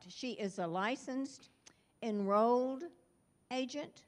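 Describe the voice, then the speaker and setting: A woman's voice: on the high side, slight background noise. Giggly older woman introducing residents. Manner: warm, giggly, scattered, self-deprecating. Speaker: retiring neighborhood representative. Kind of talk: introducing new residents at a meeting. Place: chapel hall.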